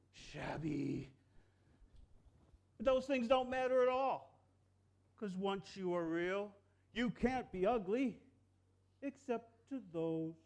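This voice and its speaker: A reader playing a wise, kind, very old toy horse in a storybook reading, speaking gently and haltingly with pauses. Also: medium pitch.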